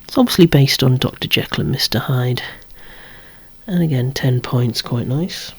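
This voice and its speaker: ASMR collector-presenter, androgynous voice. Whispered, hushed delivery at medium pitch, with gently wry asides. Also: normal speed, a little hiss or hum. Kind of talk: whispered ASMR show-and-tell of collectible toys.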